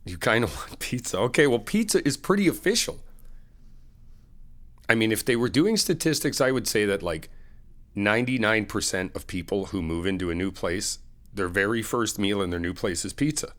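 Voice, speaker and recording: A man's voice: fairly low pitch, expressive, normal speed, fairly bright tone. A man in a friend roleplay, chatting casually and upbeat to the listener, laughing often and teasing. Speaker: ASMR roleplay creator playing a best friend.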